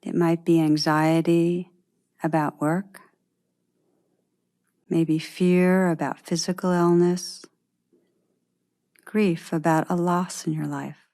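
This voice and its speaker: Slow, low-pitched woman guiding meditation. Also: little pitch movement. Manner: gentle, unhurried, long pauses. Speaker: meditation guide. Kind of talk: guided meditation.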